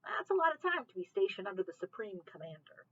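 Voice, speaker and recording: A woman giving an expressive read-aloud of a children's novel, narrating the chapter. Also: medium pitch, quick pace, slightly rough, lo-fi sound. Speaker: library read-aloud reader.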